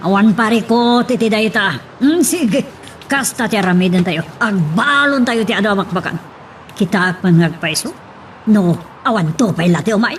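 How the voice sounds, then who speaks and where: A woman's voice: medium pitch, moderate pace, slight background noise, close to an everyday voice. A woman playing a bossy, calculating madam in an Ilocano political love drama. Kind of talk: Ilocano radio-style drama acting.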